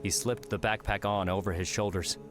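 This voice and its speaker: A man's voice: lowish voice, fairly even intonation, brisk, music in the background. A man narrating an audiobook, reading a scripted adventure story in a dramatic storytelling style.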